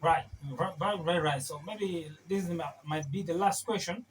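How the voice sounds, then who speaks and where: A male interviewer asking questions haltingly, with many fillers and restarts. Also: high voice. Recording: crowd noise behind the voice. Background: crowd noise.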